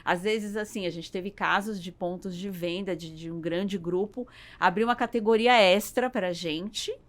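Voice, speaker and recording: A woman's voice: mid-range voice, normal speed. A Brazilian woman speaking as a business owner in a conversational interview, searching for words and often adding tag questions. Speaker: podcast guest, business owner.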